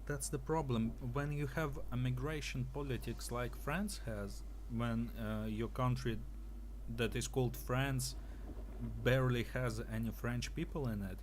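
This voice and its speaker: A man from Russia with a light Russian accent, a streamer chatting casually and joking in a deadpan, provocative way into his own microphone at home. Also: mid-range voice, unhurried, slight background noise. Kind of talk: casual chat on a game stream.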